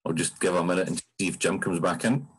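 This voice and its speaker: Low husky Scottish man hosting. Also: fast. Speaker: host of an online fan Q&A. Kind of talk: conversational moderating. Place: video call.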